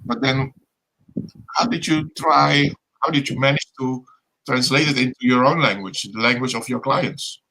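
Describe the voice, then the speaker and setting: Bright-voiced man asking questions. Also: mid-range voice, normal speed, creaky, rough recording. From Netherlands. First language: Dutch. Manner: inquisitive, searching for words. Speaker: guest co-host. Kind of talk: casual interview questions.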